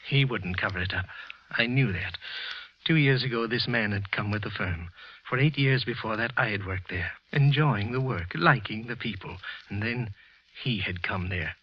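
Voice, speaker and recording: An actor playing a bitter office clerk, narrating a halting confession in 1940s radio style. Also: male voice, fairly low pitch, dry recording. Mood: bitter, brooding. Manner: halting, tense confessional narration with repeated words. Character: bitter, trembling clerk consumed by quiet hatred. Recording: muffled, husky vintage radio recording.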